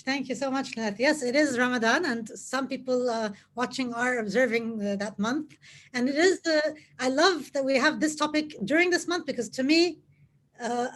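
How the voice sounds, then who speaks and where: A woman hosting a live online interview over a video call, upbeat and enthusiastic, often repeating phrases. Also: fairly high pitch. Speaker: host.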